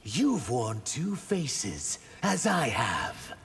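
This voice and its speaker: A man voicing a cold, menacing shapeshifting water sorcerer in a fighting game, deliberate and disdainful. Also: mid-range voice, wildly swooping pitch, creaky, fairly bright tone, slight background noise, full character voice. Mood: cold menace. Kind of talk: video game fight intro banter.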